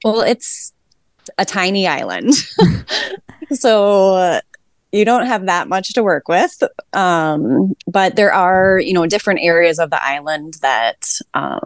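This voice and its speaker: A woman's voice: on the low side, lively intonation, slow pace, no echo, studio quality. A woman guesting on a podcast, a teacher and mom, answering easily and laughing often. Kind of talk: casual interview answers.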